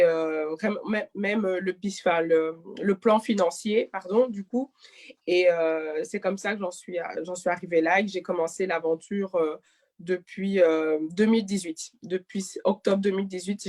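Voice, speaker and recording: A woman's voice: on the low side, darkish tone. A woman of twenty-seven from Belgium, giving conversational interview answers and often correcting herself mid-phrase. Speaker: interview guest, clothing e-shop founder.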